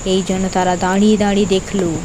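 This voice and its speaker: A woman's voice: medium pitch, flat delivery, quick pace, heavy background noise. A woman narrating a scripted horror cartoon story as its storyteller. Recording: music under the narration.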